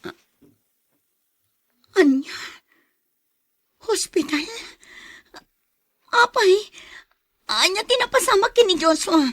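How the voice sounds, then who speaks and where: A woman playing a devoted young bride-to-be and tender daughter in an audio drama, with emotive, drawn-out delivery. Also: very high-pitched, expressive, a bit bright, no room sound, studio quality, exaggerated voice. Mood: panicked, anguished.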